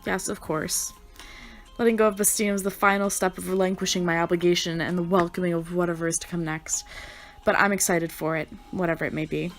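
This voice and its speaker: Soft earnest young prince guy, read by a hoarse-voiced woman, low-key and sincere. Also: steady tone, a little fast, faint music behind, lightly acted. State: hoarse from a sore throat. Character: gentle young male prince, earnest and tender.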